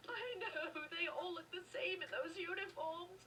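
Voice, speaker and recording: A woman playing a grief-stricken mother in a video game, crying and pleading in a drawn-out way. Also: very high-pitched, fairly even intonation, bright, forceful tone, recorded from a distance, a little hiss or hum, exaggerated voice. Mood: tearful, pleading.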